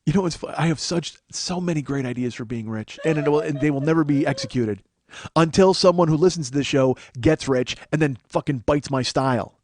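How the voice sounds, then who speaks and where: A man hosting a podcast, riffing in a profane, excitable comedic monologue into a studio microphone. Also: on the high side, brisk, dry recording. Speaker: podcast host.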